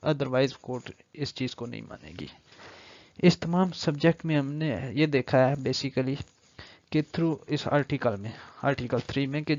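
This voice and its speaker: A man's voice: medium pitch, a little mellow, dull audio. A man with a Pakistani accent giving an explanatory law lecture, mixing in English legal terms and reading passages aloud before paraphrasing them.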